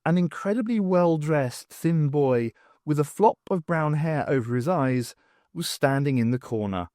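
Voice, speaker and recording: Lively male audiobook narrator, dry sound. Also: fairly high pitch, no echo. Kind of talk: scripted fiction narration.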